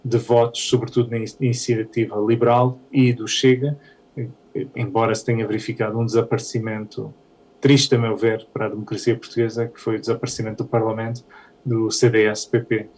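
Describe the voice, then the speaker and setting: A man from Canada, a politician, giving measured, analytical comments on an election result, with frequent hesitations. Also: fairly low pitch, level tone, darkish tone, low-quality recording. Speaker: politician interviewee.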